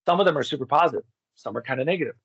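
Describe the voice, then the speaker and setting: Gravelly middle-aged man, roomy muffled sound. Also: medium pitch, racing, fairly bright tone. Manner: candid, rambling, profane. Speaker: podcast interview guest. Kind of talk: casual personal storytelling.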